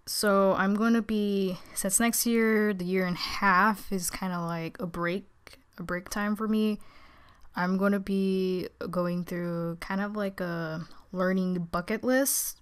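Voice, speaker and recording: A teenage girl with a naturally low voice, tired and subdued, giving a casual, rambling, hesitant update as a vlogger. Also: steady tone, takes it slowly, clean tone. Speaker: video channel creator.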